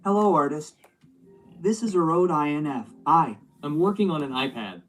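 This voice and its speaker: A man giving scripted, instructional narration for a drawing-software tutorial, played back from a video. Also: fairly high pitch, expressive, moderate pace, faint music behind.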